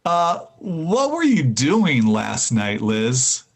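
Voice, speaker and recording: A man's voice: medium pitch, wildly swooping pitch, extremely slow, vocal fry, full character voice. A man playing a breezy, teasing, cheerful husband in 1940s radio comedy style.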